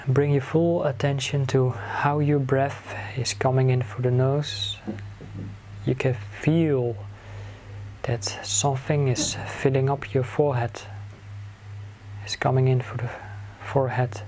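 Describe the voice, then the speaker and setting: A man leading a guided meditation in a soothing manner, giving gentle instructions in short phrases with long pauses between them. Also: mid-range voice, flat delivery. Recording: clear background noise, ambient sound.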